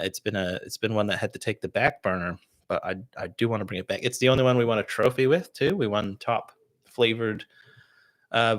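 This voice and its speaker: A Canadian man with a North American accent, hosting a casual livestream and answering viewer questions in a relaxed, rambling way. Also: medium pitch. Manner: relaxed and rambling, with many fillers and restarts. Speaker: distiller hosting a livestream tasting.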